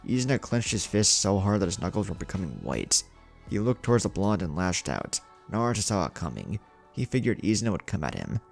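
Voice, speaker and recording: Deep, gravelly male story narrator. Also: big pitch swings, fairly quick. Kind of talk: scripted narration of fan fiction story. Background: music.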